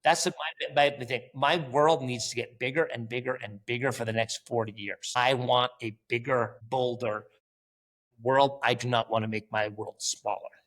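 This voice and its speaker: A man's voice: medium pitch, steady tone, moderate pace, fairly bright tone. A man, a company founder guest on a podcast, talking bluntly and animatedly, swearing casually and acting out bits of conversation. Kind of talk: casual interview.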